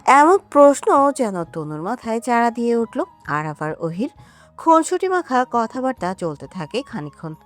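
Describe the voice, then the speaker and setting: Casting: female romance narrator, high pitch, animated swings, melodramatic scripted read over a music bed. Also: normal speed. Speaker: story narrator. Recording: music under the voice.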